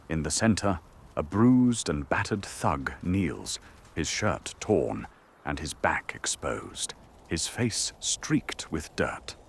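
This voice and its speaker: A man with a husky voice reading dramatic scripted narration for an audio drama. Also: deep voice, a little hiss or hum.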